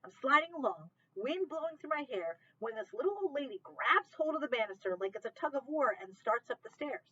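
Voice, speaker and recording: A reckless teenage skater boy rattles off his story at top speed, as a woman reading aloud puts him on. The voice is bright and forward. The recording sounds a little dull and lo-fi. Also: high-pitched, very animated, slightly rough, pitched above the performer's own voice, full character voice. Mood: boastful. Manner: rapid storytelling play-by-play.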